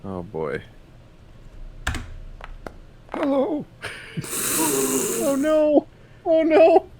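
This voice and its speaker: Male tabletop player, slow casual reactions, hugely varied pitch, noisy recording. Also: huge pitch swings.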